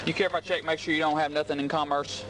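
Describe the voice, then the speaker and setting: A man's voice: on the high side, flat delivery, fast talker. A man with a Southern US accent, a state trooper questioning a driver at a traffic stop in an easygoing, folksy way. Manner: easygoing, folksy, repeats instructions. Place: roadside by a highway, outdoors. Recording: noisy roadside dashcam audio.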